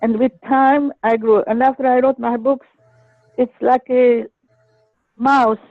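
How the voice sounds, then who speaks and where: A woman's voice: fairly high pitch, steady tone, measured pace, no room sound, faint music behind. Older woman on a dry tinny phone line. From Egypt. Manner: earnest, hesitant with restarts. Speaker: caller. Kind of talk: phone-in sharing a personal story. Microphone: telephone.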